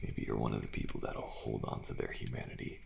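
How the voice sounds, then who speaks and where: A man playing a weary, thrill-seeking survivor in an intimate ASMR audio roleplay, talking in a slow, laid-back drawl with pauses and sighs. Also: fairly even intonation, darkish tone, slight background noise, heavily acted. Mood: wry, fatalistic. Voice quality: deep, gravelly. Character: weary, thrill-seeking male survivor of endless killer trials. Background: campfire ambience with crickets.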